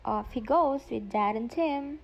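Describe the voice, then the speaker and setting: A woman's voice: a little high, a little slow, vocal fry. A woman playing a pouty, attention-seeking schoolgirl in an amateur radio play. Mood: indignant.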